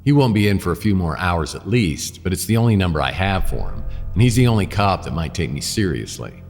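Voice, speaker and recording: A man playing a world-weary, wisecracking private detective in a noir radio drama, with a wry deadpan delivery. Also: deep voice, brisk, big-room sound, faint music behind. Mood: brooding. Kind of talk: noir radio drama, first-person narration and dialogue.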